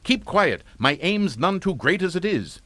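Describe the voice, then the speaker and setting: An actor playing a calm, menacing middle-aged father in a suspense radio drama, with clipped, authoritative delivery. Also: sits high, a little slow, dry recording, studio quality, heavily acted. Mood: commanding. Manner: clipped, authoritative, matter-of-fact.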